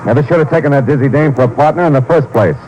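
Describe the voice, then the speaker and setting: A blustering small-time crook played by a male actor rattles out slangy, aggrieved lines at speed. It is old radio drama, muffled and clearly noisy. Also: mid-range voice, racing, a little mellow, natural voice. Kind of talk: 1950s radio drama acting. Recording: dull, noisy vintage radio broadcast.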